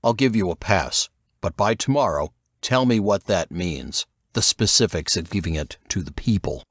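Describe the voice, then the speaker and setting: Stern, low-voiced old intelligence veteran, played by a man. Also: lively intonation, no room sound, clean studio sound. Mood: demanding. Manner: terse, commanding, deliberate. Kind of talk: audiobook character dialogue.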